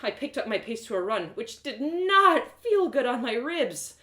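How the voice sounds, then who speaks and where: A woman reading a first-person fantasy story aloud in a dramatic, expressive storytelling manner. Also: on the high side, measured pace. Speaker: storyteller, author reading live. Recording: roomy echoing sound.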